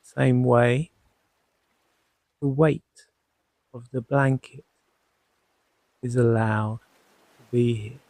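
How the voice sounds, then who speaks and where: Guy doing sleep hypnosis, super slow n soothing, bit of gravel in his voice, lots of pauses. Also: medium pitch, fairly even intonation, no room sound. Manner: soothing, unhurried, gently reassuring, with long pauses. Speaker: hypnotherapist guiding a sleep session. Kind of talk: guided sleep hypnosis narration.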